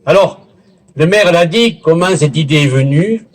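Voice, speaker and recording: A man giving a formal public speech as a local official, in a deliberate, theatrical oratorical manner with pauses and a husky voice. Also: fairly high pitch, animated. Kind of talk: formal public speech at a village festival, with literary recitation. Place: village festival in Bouisse, addressing a gathering.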